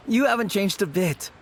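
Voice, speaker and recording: A man's voice: sits high, fairly bright tone, slight background noise, heavily acted. A man voicing an earnest, kindly young man in a video game.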